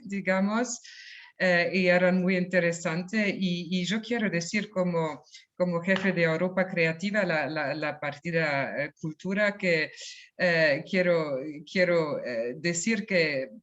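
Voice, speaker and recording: Slow, halting woman, non-native speaker. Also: fairly low pitch. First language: not Spanish. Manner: hesitant, many restarts and fillers. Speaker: EU culture program head. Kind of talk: halting webinar remarks. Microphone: video call. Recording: dull lo-fi video call audio.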